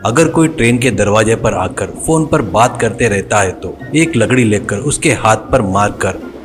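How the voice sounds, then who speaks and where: A man's voice: lowish voice, lively intonation, a little slow, over music. A man reading scripted narration for a cartoon story.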